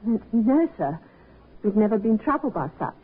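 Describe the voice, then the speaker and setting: An actress playing an emotional, newly widowed innkeeper's wife in a 1950s radio drama. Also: female voice, mid-range voice, very animated, moderate pace, slightly rough. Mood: nervous, polite. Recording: muffled 1950s radio recording.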